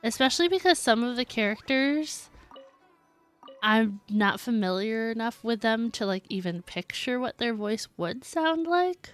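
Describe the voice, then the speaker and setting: A woman's voice: a little high, expressive, measured pace, smooth, soft background music. A woman streaming a video game, chatting casually and reading the game text aloud. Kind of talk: casual gameplay commentary.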